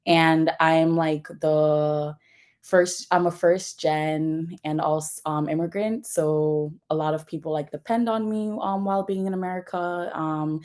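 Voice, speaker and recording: A woman's voice: low-pitched, a little mellow. A young woman from Jamaica, a nonprofit founder, telling her story in a casual interview with many fillers and restarts.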